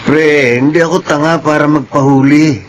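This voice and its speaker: A man's voice: on the high side, fairly even intonation, moderate pace, slight background noise, natural voice. A man playing a cocky, bullying neighborhood thug in a Filipino television drama, with a Filipino accent. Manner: boastful, bullying.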